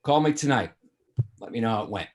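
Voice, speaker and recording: A man's voice: fairly low pitch, expressive, brisk, darkish tone, lightly acted. A man playing a breezy, shameless womanizing father of a grown son in a sitcom table read. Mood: casual. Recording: video call table read.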